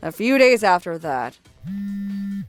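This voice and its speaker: A woman voicing a calm young office worker narrating. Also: mid-range voice, slow pace, soft background music. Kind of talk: manga dub narration and dialogue.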